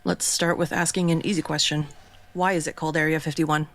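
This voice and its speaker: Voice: mid-range voice, level tone, fairly quick, a bit bright, lightly acted. An actor playing a skeptical radio co-host who talks bluntly and sounds exasperated, with a faint mains hum behind.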